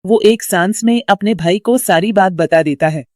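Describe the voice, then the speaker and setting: A woman's voice: medium pitch, normal speed, a little mellow, no echo, studio quality. A woman reading a scripted story as its narrator.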